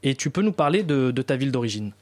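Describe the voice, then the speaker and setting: Radio host with a mid-range voice. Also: male voice, mid-range voice, animated, fast talker. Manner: friendly, teasing questions. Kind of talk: scripted narration and casual interview.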